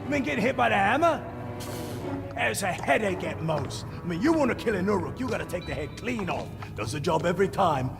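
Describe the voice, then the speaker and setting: A man playing a talkative, scrappy, cowardly orc in a video game cutscene, rambling and cheeky with a gravelly edge. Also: high-pitched, sing-song, a little fast, in a large hall, music in the background, heavily acted. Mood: chatty, unsure. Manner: rambling, self-doubting, cheeky.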